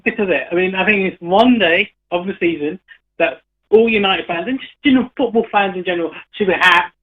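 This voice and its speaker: Male caller, high and quick, nostalgic, tinny phone line. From London. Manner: nostalgic, flowing talk. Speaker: radio co-host calling in. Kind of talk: casual sports chat.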